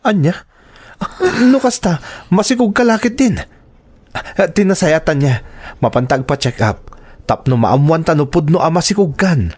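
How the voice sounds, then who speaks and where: An actor with an Ilocano accent playing a dutiful, caring young husband in a radio drama. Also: male voice, on the high side, expressive, unhurried, pauses often, a little mellow. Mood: excited, eager.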